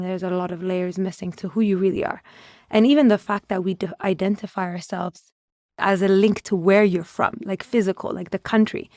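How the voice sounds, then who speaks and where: A woman's voice: mid-range voice, warm tone, no room sound. A woman from Ethiopia, a guest on a podcast, speaking with very little rise and fall in her voice. Her recording is dry and close. She answers reflectively, often breaking off and restarting words as she searches for the right phrasing. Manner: thoughtful, with frequent restarts while searching for words. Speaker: conservation adviser, podcast guest.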